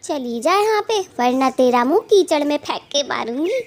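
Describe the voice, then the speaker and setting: A woman voicing a feisty little female bird, indignant and sharp-tongued, in a children's cartoon story. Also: sits high, expressive, normal speed, slight background noise, pitched above the performer's own voice. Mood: defiant, indignant.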